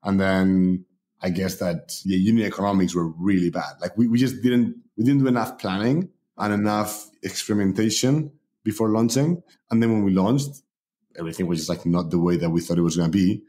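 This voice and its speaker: A man from Spain, a company founder, talking as a guest in a relaxed way with many fillers. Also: deep voice, a little fast. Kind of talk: casual interview talk.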